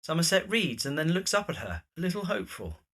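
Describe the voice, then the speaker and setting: A man's voice: fairly high pitch, big pitch swings, quick pace, a bit bright. A man reading scripted, present-tense scene narration for an audio drama.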